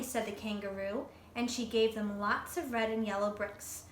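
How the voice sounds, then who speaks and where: A woman reading a picture book aloud to children like a teacher, expressively, with questions to her listeners. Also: fairly high pitch. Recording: roomy echo, faint background noise.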